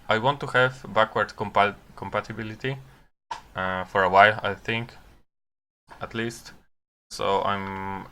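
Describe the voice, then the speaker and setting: A man who is a non-native English speaker gives unscripted live coding commentary, thinking aloud haltingly with long pauses. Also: deep voice, pushed and bright, smooth. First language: not English. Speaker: programmer live-streaming his coding.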